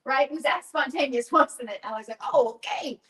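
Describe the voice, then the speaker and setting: A woman coaching a live workshop group, encouraging people with quick affirmations. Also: high voice, fairly bright tone, reverberant.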